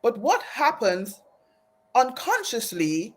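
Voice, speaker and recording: A woman's voice: fairly high pitch, very animated, slow, husky. Earnest woman. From Aruba, raised in Trinidad. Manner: earnest, reflective, often restarts phrases. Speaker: guest. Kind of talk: personal storytelling in an interview.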